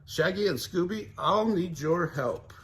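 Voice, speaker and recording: A man voicing a confident young gang leader in a steady, take-charge tone. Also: high-pitched, a little slow, background noise, pitched above the performer's own voice. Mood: determined.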